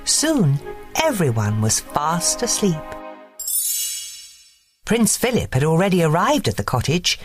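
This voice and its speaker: A woman reads a bedtime story for children in a low voice. Her delivery is expressive and very animated, rising and falling freely. Music plays under her narration. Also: a little slow, pauses often. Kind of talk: scripted children's bedtime story read-aloud.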